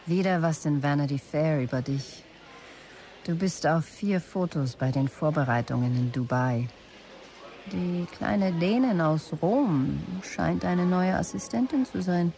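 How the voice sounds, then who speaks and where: A woman playing a weary, proud, lovelorn company boss in a radio drama, brooding and dramatic, with a low creaky voice. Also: dark tone, slight background noise, full character voice. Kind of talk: radio drama, dictated messages.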